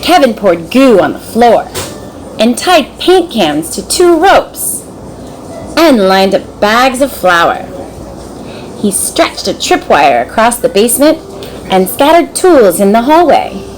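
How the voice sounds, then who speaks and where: A woman narrating a children's storybook in an expressive, dramatic storytelling manner. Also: on the high side, slow, slight background noise, poor audio. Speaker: storybook reader.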